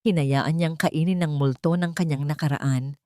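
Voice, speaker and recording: Filipino woman narrating romance, low and close. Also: fairly even intonation, normal speed, mellow, dry recording. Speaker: story narrator. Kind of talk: dramatic scripted romance narration.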